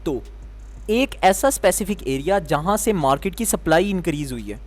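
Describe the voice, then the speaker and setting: Male trading teacher, sore throat, slightly high voice, question-and-answer explaining. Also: sing-song, moderate pace. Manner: explains step by step, asking the viewer questions and answering them himself. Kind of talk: explanatory lesson.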